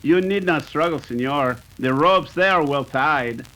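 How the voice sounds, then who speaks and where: Casting: gloating Mexican outlaw boss, Mexican accent, raised pitch, smug and formal, vintage radio western. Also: male voice, measured pace, fairly bright tone, low-quality recording. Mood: cool commanding. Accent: put-on Mexican. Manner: oily, gloating chuckles, formal phrasing. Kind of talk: 1930s western radio acting.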